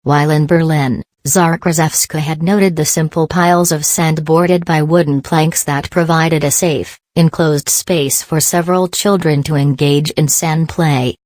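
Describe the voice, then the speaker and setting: A woman's voice: sits low, fairly even intonation, unhurried, few pauses, a little mellow, no echo. A woman reading practice passages aloud in a scripted style for exam preparation.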